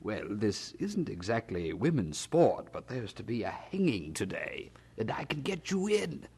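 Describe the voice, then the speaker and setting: An actor playing a hearty, blustering older brother in an 18th-century audio drama, with a husky voice and a condescending manner. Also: male voice, fairly low pitch, very animated, measured pace, a little mellow, full character voice. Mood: gleeful, condescending. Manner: chuckling, hearty, condescending, stammers when evasive.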